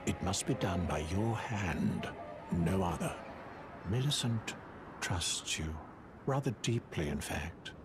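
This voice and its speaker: A man's voice: low voice, animated, slow pace, over music. A man playing a fawning, scheming scholar in a video game, obsequious and theatrical, with hesitant politeness and sinister devotion. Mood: sinister pleading. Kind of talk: video game character acting.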